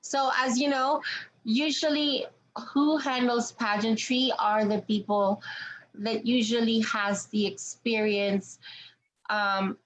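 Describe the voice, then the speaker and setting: A woman's voice: fairly high pitch, steady tone, takes it slowly, a bit bright, a little hiss or hum, low-quality recording. A woman from Canada hosting an online interview, talking in a chatty way with many ums and restarts. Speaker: interviewer, host.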